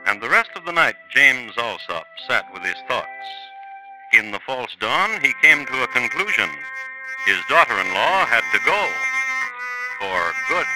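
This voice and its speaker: A man hosting and narrating a 1950s radio crime drama in a measured, dramatic way. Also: medium pitch, big pitch swings, rough recording. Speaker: narrator and host. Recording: old radio broadcast with music.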